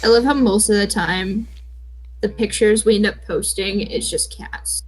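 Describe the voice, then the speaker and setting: A woman's voice: medium pitch, fairly even intonation, unhurried, slightly rough, poor audio. A young woman casually reading a fan comic aloud with friends, breaking off to joke and chat. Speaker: comic dub reader.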